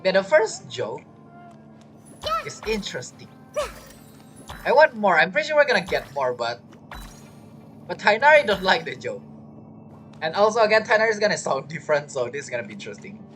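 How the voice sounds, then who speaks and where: Rambling game streamer, lively pitch. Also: fairly quick, pauses often, soft background music. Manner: rambling, thinking aloud. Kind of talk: casual live gameplay commentary.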